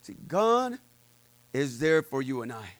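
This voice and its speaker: A man preaching a sermon with personal testimony, loud and fervent, peppering his words with amens and uh's. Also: medium pitch, sing-song, slow pace, dry recording.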